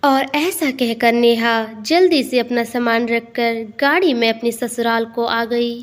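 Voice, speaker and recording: A woman narrates a scripted family tale. Her voice sits a little higher than average. She delivers it as a storyteller. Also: unhurried.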